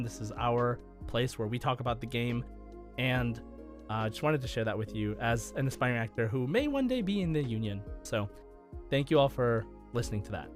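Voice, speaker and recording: A man hosting a gaming podcast, talking casually. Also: mid-range voice. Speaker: podcast host.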